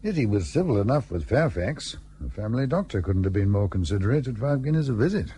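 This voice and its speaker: A blustery colonial gentleman, very animated. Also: on the low side, quick pace, a little hiss or hum. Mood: puzzled, suspicious. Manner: hearty, pompous, old-fashioned clipped phrasing. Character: blustery, sociable British colonial husband and host. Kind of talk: period radio play acting.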